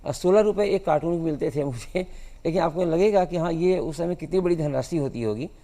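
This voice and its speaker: Gravelly man in his sixties, reverberant hall. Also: a little high, fairly quick, warm tone. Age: 60s. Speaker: guest cartoonist. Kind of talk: interview answers.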